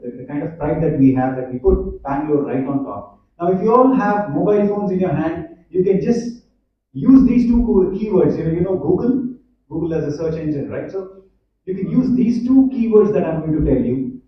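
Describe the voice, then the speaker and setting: A man with an Indian English accent giving a conference talk to students, chatty and full of fillers, often asking the audience questions. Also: sits high. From Hyderabad, India. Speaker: conference speaker. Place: large echoey college hall. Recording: echoey hall, distant, dull.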